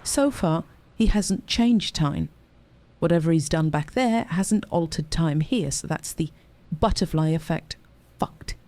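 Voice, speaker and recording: An actor playing an earnest, analytical investigator who explains things methodically, in an audio drama. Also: male voice, on the high side, lively intonation, measured pace, darkish tone. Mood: earnest, puzzling.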